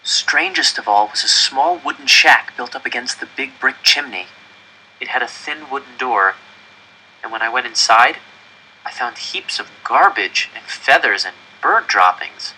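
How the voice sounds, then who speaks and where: A man reading a first-person story aloud in an expressive, dramatic storytelling style. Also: medium pitch, a little slow, slight background noise, poor audio. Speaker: audiobook reader.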